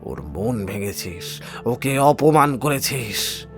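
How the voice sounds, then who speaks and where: A man playing a vengeful, protective elder brother in an audio thriller, speaking slowly and deliberately. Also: medium pitch, big pitch swings, soft background music. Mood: cold menace. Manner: slow, deliberate, threatening delivery. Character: menacing vengeful elder brother, caring only to his sibling. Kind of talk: audio thriller story acting.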